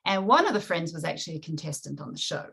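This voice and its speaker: A woman with a New Zealand accent talks in a loose, chatty way, often laughing and restarting sentences. Her voice sits a little low and has a gravelly fry to it. She sounds like a guest on a remote interview call. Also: very animated, fast talker, a bit bright. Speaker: author guest. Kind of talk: casual interview chat.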